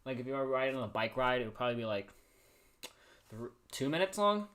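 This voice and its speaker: Casual, rambling male livestream storyteller, mid-pitched. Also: very animated, quick pace, a bit bright. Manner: rambling, repeats and restarts phrases. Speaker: livestream host. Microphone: streaming microphone he adjusts by hand.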